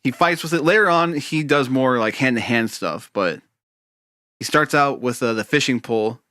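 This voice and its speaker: Bright-voiced man, wry and quick. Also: mid-range voice, dry recording, clean studio sound. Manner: animated, quick explaining, wry asides. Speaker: podcast co-host. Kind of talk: casual chat.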